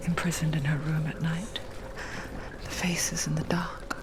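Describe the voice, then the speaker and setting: A woman voicing an intimate, sorrowful narrator in a video game, confiding in a hushed, whispered style. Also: deep voice, flat delivery, a little slow, noisy background. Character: soft-spoken female narrator voice, intimate and sorrowful.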